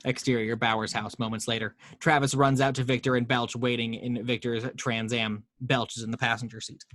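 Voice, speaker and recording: A man reads out screenplay directions as scripted narration. His voice sits at an average pitch, and the recording is dry with very little room in it. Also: little pitch movement, a little fast, fairly bright tone, dry recording. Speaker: reader of action descriptions in a table read.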